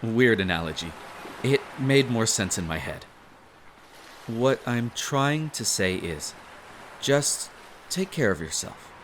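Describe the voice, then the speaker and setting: A man acts out a young male barista with a crush, speaking at an unhurried, slow pace with plenty of melodic swing. He is teasing and bashful, tends to ramble, and looks after the listener in an intimate roleplay, with beach ambience audible behind him. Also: medium pitch, lively intonation, a bit bright, slight background noise. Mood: sheepish, caring. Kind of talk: intimate roleplay audio acting.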